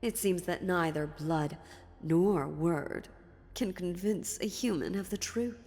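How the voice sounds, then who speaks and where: A woman playing an ancient, sly and dominant female demon in a dark fantasy audio roleplay, taunting theatrically with deliberate pauses. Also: lowish voice. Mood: condescending. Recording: long reverb, music underneath.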